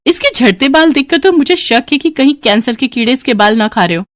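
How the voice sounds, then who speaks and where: A woman's voice: high-pitched, lively intonation, brisk, no echo, studio quality, pitched above the performer's own voice. A woman voicing a cruel college girl in a storybook tale, jeering and mocking. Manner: mocking, sneering.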